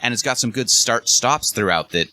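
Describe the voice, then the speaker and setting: A man's voice: mid-range voice, lively intonation, normal speed, bright, forceful tone, dry recording, rough recording. A man on a podcast panel in casual chat, wry and joking, breaking down songs in detail. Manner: wry, joking, analytical about music.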